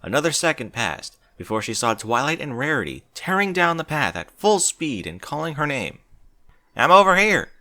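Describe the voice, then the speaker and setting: Guy narrating a fan fiction story, bright forceful voice, really animated intonation, mid pitch. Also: normal speed, hardly any pauses, no echo. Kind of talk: expressive scripted story narration.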